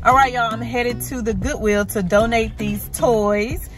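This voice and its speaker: A woman's voice: on the high side, steady tone, measured pace, music in the background. A woman in her thirties with an American accent, chatting casually and informally to her viewers as a vlogger. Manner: informal, addresses viewers as y'all. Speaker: lifestyle vlogger, host. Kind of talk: casual vlog chat to viewers. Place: parked car and home kitchen.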